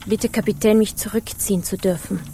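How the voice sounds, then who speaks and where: An actress playing a proud, aloof young pirate sailor in a radio drama, formal, guarded and reserved. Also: female voice, mid-range voice, steady tone, a little fast, dark tone, slight background noise, close to an everyday voice. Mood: coolly formal. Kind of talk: theatrical radio drama acting.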